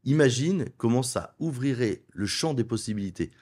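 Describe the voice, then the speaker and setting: A middle-aged man from France, a wealth advisor in a studio interview, making his case persuasively and listing points in quick runs. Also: mid-range voice, lively intonation, darkish tone, husky. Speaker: wealth advisor and property investment guest. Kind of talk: conversational interview.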